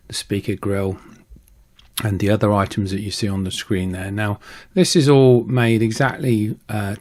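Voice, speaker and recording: A man with a fairly low voice narrates a hobby tutorial as a voice-over. He speaks casually and conversationally, pausing often and filling gaps with ums as he explains his process. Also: darkish tone. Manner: hesitant, conversational, with frequent pauses and ums. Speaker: video creator and hobbyist prop maker. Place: voice-over recording.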